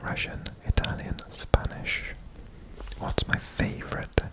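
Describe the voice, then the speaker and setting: A person from Wales whispering in a breathy, deliberate way for ASMR, repeating words. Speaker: ASMR host presenting a personal guessing quiz.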